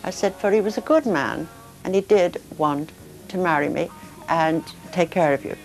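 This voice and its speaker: An older woman speaking softly and hesitantly in a documentary interview, emotional as she remembers her son. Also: fairly low pitch, moderate pace, soft background music, rough recording. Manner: soft, hesitant, emotional reminiscing. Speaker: interviewee, mother of the subject.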